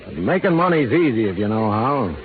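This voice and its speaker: An actor playing a sly, menacing cowhand villain in a 1930s radio drama, folksy and insinuating. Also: male voice, fairly low pitch, big pitch swings, brisk. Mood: sly, persuasive. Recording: narrow-band 1930s radio recording, crowd behind.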